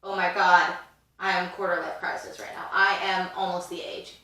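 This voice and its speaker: A woman's voice: lowish voice, little pitch movement, normal speed, bright, forceful tone, very echoey, recorded from a distance. A woman in her twenties co-hosting a podcast, chatting in a rambling way with lots of fillers. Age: 20s. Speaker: podcast co-host. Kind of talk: casual chat.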